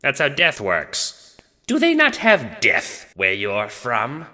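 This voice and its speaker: A man's voice: mid-range voice, wildly swooping pitch, slow pace, bright, forceful tone, voice pushed up, heavily acted. Man voicing a blunt, foul-mouthed lug of death, echoing. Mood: scornful. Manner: blunt, slangy, casually profane. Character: Death, big lug god of double death, foul-mouthed, dim, blunt, bitter. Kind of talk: audio drama character voice.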